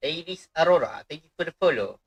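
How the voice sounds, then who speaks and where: A man's voice: fairly high pitch, lively intonation, fast talker, husky, lo-fi sound, soft background music. A young man with a Malaysian accent chatting casually and playfully as he livestreams a game. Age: young adult. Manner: playful, jokey.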